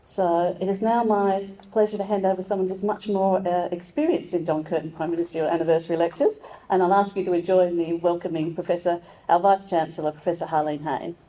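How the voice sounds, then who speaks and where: A woman's voice: lowish voice, quick pace, hardly any pauses, mellow, slight background noise, rough recording. A woman working as the MC of an evening lecture, giving chatty, self-deprecating housekeeping announcements with many ums and restarts. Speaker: university librarian and MC. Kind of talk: event hosting and housekeeping announcements.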